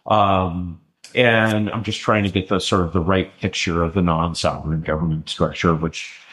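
A man explaining in a lecturing way in conversation, often pausing with ums and restarting his sentences. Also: low voice, steady tone, a bit bright. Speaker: interview guest.

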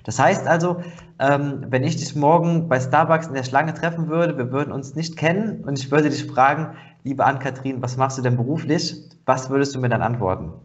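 A man's voice: medium pitch, normal speed, dull audio, faint music behind. A man hosting a podcast, asking chatty conversational questions with fillers. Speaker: podcast host. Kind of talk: podcast interview.

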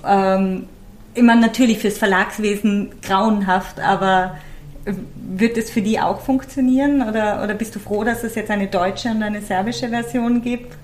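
A woman's voice: mid-range voice, fairly even intonation, moderate pace. A woman co-hosting a literature podcast, asking engaged questions and reading a plot summary. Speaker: podcast co-host. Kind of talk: casual chat and read summary.

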